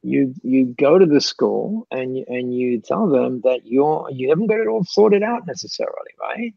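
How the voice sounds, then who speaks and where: A man's voice: mid-range voice, lively intonation, normal speed, vocal fry, breathy edge, dry recording. A man answering questions as a guest speaker in chatty, repeating speech that breaks into laughs, on a dull lo-fi call recording. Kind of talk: mentoring Q&A on a call.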